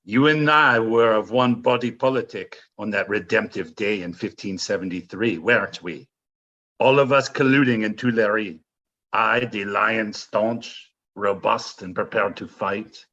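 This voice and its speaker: An actor playing a scheming, zealous French duke in an audio play, speaking in a grandiose, mocking, theatrical way. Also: male voice, lowish voice, fairly bright tone, muffled recording. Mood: taunting, theatrical. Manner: grandiose, mocking, florid delivery with dramatic drawn-out phrases.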